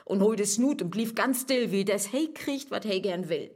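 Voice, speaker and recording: An actress with a northern German accent playing a sceptical but soft-hearted young woman in a verse radio play, earthy and matter-of-fact. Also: medium pitch, lively intonation, moderate pace, natural voice. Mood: doubtful, disgusted.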